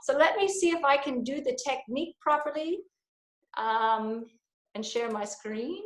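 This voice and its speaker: A woman's voice: sits high, a little slow, roomy sound. American woman coaching in learner's German. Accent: American English. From Texas, USA. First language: English. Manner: enthusiastic, mixes English into imperfect German. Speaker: business coach. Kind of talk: live webinar presentation. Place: her home, indoor.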